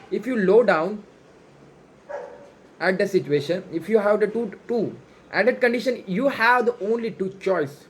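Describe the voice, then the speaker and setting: A man with an Indian accent, a native Marathi speaker, gives an unscripted motivational monologue in halting, repetitive non-native English. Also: high voice. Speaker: self-improvement challenge vlogger.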